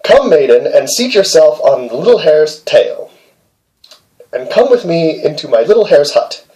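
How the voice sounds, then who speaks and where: A man's voice: a little high, big pitch swings, moderate pace, warm tone, low-quality recording. A man voicing a little male hare from a storybook, an insistent suitor who coaxes with repeated commands. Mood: coaxing. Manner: coaxing, repetitive commands.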